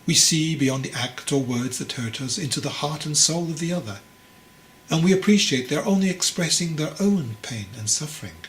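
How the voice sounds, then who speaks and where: A man voicing a wise, patient old monk master, teasing and instructive, in a measured delivery for a storybook. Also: on the high side, expressive, fairly bright tone. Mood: calm, instructive. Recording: clearly noisy recording.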